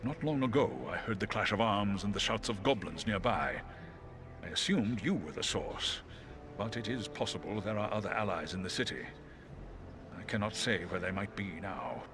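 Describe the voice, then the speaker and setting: A man voicing a noble, courteous great eagle in a fantasy game, speaking in a formal, measured way. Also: lowish voice, expressive. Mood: grave. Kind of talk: fantasy game cutscene acting.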